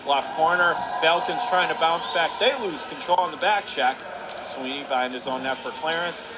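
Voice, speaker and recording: A man's voice: a little high, moderate pace. An American man doing live radio play-by-play commentary of a hockey game. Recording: noisy arena ambience behind voice. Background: ice rink noise.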